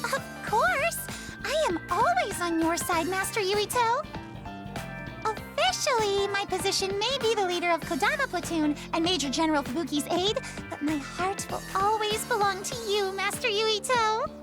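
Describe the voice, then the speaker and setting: Super high girly anime officer, fawning and a bit sly, loud game music behind. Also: animated, normal speed. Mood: adoring. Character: devoted, sly young woman officer, fawning and barbed. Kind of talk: English-dubbed anime game acting.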